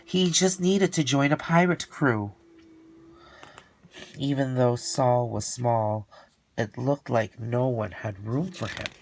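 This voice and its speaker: A man reading a children's storybook aloud in an expressive style, with theatrical, drawn-out emphasis. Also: medium pitch, a little hiss or hum, rough recording.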